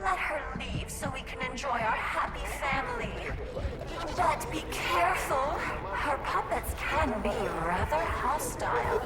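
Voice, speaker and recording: A clingy, seductive robot from a video game, high and swooping in pitch. She coos coquettishly with an edge of menace. The voice rings in an echoey hall with music behind it. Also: animated, unhurried. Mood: possessive. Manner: sultry, coquettish, cooing with pet names. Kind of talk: video game character acting.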